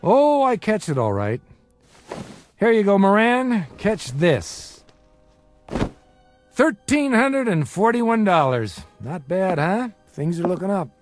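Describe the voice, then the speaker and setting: Flippant bookie, high lively voice. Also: male voice, slow, pauses often, faint music behind, exaggerated voice. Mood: wisecracking bravado. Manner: wisecracking, breezy bravado. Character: flippant, world-weary small-time male bookie, a father. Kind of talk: old-time radio crime drama acting.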